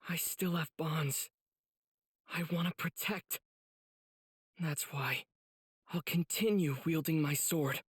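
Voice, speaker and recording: Anime game hero: earnest teen boy swordsman, very husky and breathy, unhurried, dry studio sound. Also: fairly high pitch, no echo, heavily acted. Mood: resolute. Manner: earnest, breathy inner monologue bursting into exclamations. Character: earnest, determined teenage boy demon-slaying swordsman. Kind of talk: English video game dub acting, earnest anime hero.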